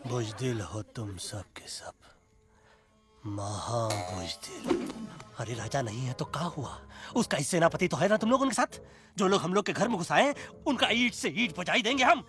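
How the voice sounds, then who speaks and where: An actor playing a hot-headed, militant monkey commander in a cartoon film, blustering in a rustic eastern Hindi accent. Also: male voice, fairly high pitch, expressive, moderate pace, over music. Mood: scornful. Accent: eastern Hindi heartland, Bhojpuri-Awadhi. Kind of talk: animated film voice acting.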